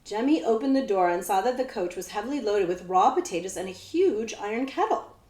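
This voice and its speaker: A woman's voice: mid-range voice, expressive, brisk, a little mellow. Woman reading aloud in an echoey room. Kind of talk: children's storytelling with casual explanations.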